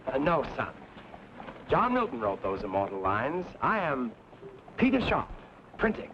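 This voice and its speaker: An optimistic, bookish frontier printer for a western drama; florid, theatrical delivery, slightly noisy dull recording. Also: male voice, mid-range voice, wildly swooping pitch, unhurried. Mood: cheerfully grandiloquent. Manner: theatrical, grandiloquent, quotes poetry and scripture. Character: genial, bookish middle-aged frontier printer, philosophical optimist. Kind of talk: old-time western drama acting. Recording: dull lo-fi recording, faint noise.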